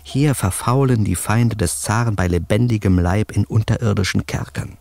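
An actor in a radio drama playing a wry, cheeky, jumpy newspaper reporter who also narrates in colloquial style. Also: male voice, lowish voice, normal speed, creaky, a little mellow, no echo. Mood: wry narration. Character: cheeky, jumpy male American reporter and storyteller sidekick.

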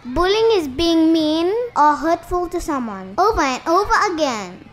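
Woman as a very high-pitched eager schoolkid. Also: big pitch swings, normal speed. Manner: eager, childlike. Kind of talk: animated motion comic acting.

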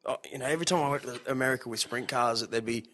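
A man's voice: mid-range voice, a bit bright. Chatty Australian man hosting a podcast. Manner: chatty, halting, repeats himself. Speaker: podcast host. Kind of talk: casual chat.

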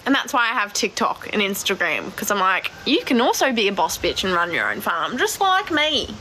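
Australian woman, twenties, naturally deep voice, swears a lot, bright sound, faint outdoor noise. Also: very animated, moderate pace, rough recording. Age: 20s. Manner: blunt, swears a lot, laughs easily. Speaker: cattle farmer vlogger. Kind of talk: casual live stream chat answering comments. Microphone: phone at arm's length.

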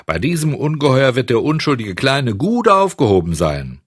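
A man's voice: medium pitch, very animated, normal speed, slightly rough, dry recording, studio quality, voice pushed up. A man voicing a spiteful, sarcastic street gossip in a storybook, full of mocking scorn. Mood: mocking, scornful. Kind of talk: storybook audiobook character voice.

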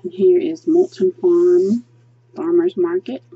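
A woman's voice: on the low side, takes it slowly, warm tone, husky, dull audio. A woman reviewing a coloring book as she flips through it, chatty and gushing, with lots of ums while she thinks aloud. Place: indoors at a table, hands seen flipping pages.

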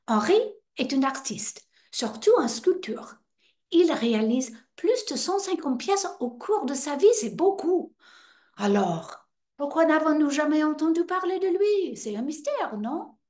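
A woman's voice: sits high, sing-song, measured pace, a little mellow, pitched above the performer's own voice. A woman in her sixties with an American accent, performing a playful monologue as a chatty guide welcoming visitors, with teasing asides. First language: English. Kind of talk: theatrical monologue screen test. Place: indoor stairwell by a wrought-iron railing.

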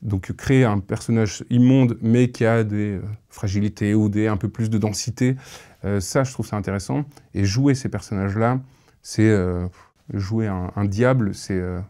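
Thoughtful man, low mellow voice. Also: steady tone. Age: 30s. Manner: halting, thoughtful. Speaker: interviewee, audio fiction creator. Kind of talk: reflective interview talk. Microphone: lapel mic.

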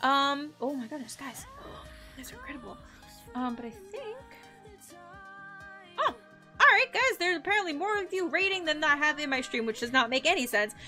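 A woman's voice: high-pitched, sing-song, normal speed, sharp tone, soft background music. A young adult woman streamer wearing a headset, chatting playfully with her viewers as she signs off. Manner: chatty, playful, addresses chat as guys. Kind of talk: casual stream sign-off. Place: small room indoors.

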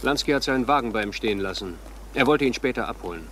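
A male police detective, played by an actor in a crime radio play. He reports in an orderly, matter-of-fact manner at an average pitch. Faint noise runs behind the voice. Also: expressive, quick pace, fairly bright tone, lightly acted. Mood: businesslike. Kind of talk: crime radio drama acting.

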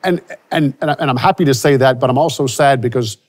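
A man's voice: medium pitch, fast talker. A man in his forties from Louisiana, a country singer being interviewed, speaking reflectively with frequent restarts, recorded on a studio set with a lapel mic. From Louisiana, USA. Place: studio with starry backdrop.

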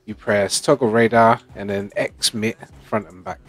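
A man's voice: lowish voice, level tone, moderate pace, faint music behind. A man chatting casually with a fellow player during an online roleplay game. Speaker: roleplay gamer playing a police trainee.

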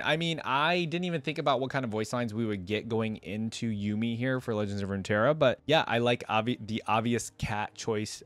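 A man's voice: on the low side, dry recording. A young man giving casual, off-the-cuff reaction commentary as a video host. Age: young adult.

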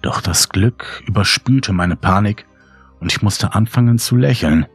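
A man reading a horror story as scripted dramatic audiobook narration, grave, measured and brooding. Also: fairly low pitch, breathy edge, dry recording. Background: faint music.

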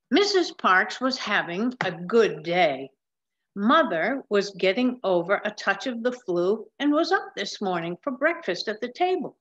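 An older woman reading a picture book aloud in a measured, explanatory manner, recorded on a webcam at home. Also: medium pitch, fairly bright tone, slight background noise. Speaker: storybook reader. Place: large wood-ceilinged room at home. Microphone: webcam or laptop mic at desk distance. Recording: slightly dull webcam sound.

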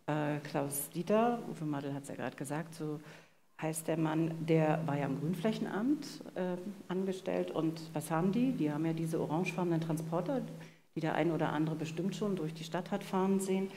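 A middle-aged woman with a slightly low voice narrates true crime on stage, posing dramatic rhetorical questions. There is faint noise behind her. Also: normal speed, warm tone. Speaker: podcast co-host. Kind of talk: live true-crime storytelling. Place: on stage in a vaulted hall with audience. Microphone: headset. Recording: live stage recording, faint background noise.

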